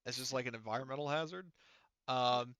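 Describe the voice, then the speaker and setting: A man acting as game master in casual tabletop talk, haltingly thinking aloud as he explains. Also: medium pitch, fairly bright tone, clean tone, no room sound.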